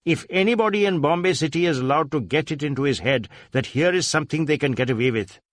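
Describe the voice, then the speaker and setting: A man's voice: a little high, fast talker, dry recording, clean studio sound, natural voice. A man voicing a stern, brusque police superintendent who speaks emphatically and repeats himself for weight. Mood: brusque, insistent.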